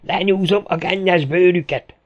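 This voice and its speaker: A man's voice: high-pitched, fairly even intonation, muffled recording, pitched above the performer's own voice, lightly acted. A man voicing a hot-headed, grieving Russian gunman in an audiobook, ranting and threatening in exclamations. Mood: furious, threatening.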